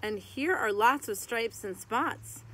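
A woman's voice: a little high, animated, bright, forceful tone. Woman reading aloud outdoors, some background noise. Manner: deliberate, phrase-by-phrase reading with short asides. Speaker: teacher reading a picture book aloud to children. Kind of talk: read-aloud of a children's picture book. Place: outdoors in a garden beside a tree.

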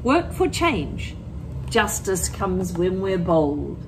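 A woman's voice: fairly low pitch, big pitch swings, slow pace, noisy background. A middle-aged woman teacher explaining things to children in plain words and reading aloud expressively from a picture book. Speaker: primary school teacher.

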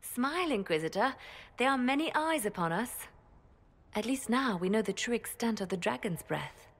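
An actress voicing a poised, calm woman spymaster and former bard in a video game, speaking in a measured, softly formal way. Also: a little high, breathy edge.

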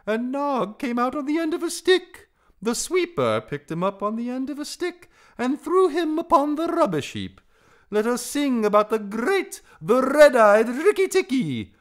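A tiny, feather-brained storybook songbird, crows in triumph. The voice leaps far up into a very high, loud singsong and swoops about grandly. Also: male voice, wildly swooping pitch, measured pace, heavily acted. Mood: exultant. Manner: singsong, exultant delivery.

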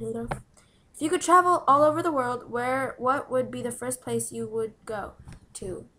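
A giggly teenage girl with a fairly high voice talks straight into a close webcam in a small room. She answers questions in a bubbly, playful way, often dropping to a whisper. A faint hiss of background noise sits under her voice. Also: a bit bright. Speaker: vlogger answering follower questions. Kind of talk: casual Q&A vlog. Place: small room indoors by a window. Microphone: webcam from below at close range.

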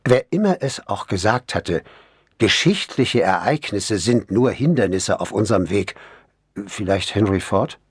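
Low, husky male audiobook narrator. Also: lively intonation, measured pace, no echo, clean studio sound. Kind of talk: scripted first-person crime novel narration.

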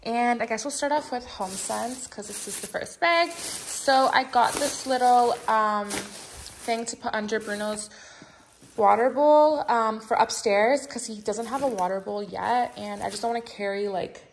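A woman's voice: fairly high pitch, a little slow, slight background noise, low-quality recording. A young woman in her twenties chatting casually to her viewers at home, upbeat and filler-heavy, filmed on a phone in her kitchen. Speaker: vlogger. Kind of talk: casual vlog chat.

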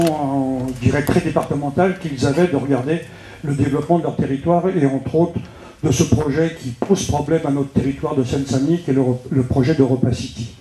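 A man makes a halting public-meeting speech into a handheld microphone, often repeating words as he addresses an official. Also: a little high, mellow. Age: 60s. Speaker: local politician speaking from the floor at a public debate. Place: indoor meeting room with seated audience. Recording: roomy, echoing public meeting hall.

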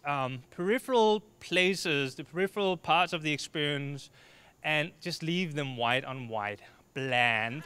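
A Danish man in his thirties giving a lively, joking stage talk into a handheld mic. His voice is bright and crisp, pitched a little high for a man. The sound is dry and close. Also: dry recording. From Denmark. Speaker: speaker. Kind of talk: short comic talk on stage. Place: dark stage before an audience.

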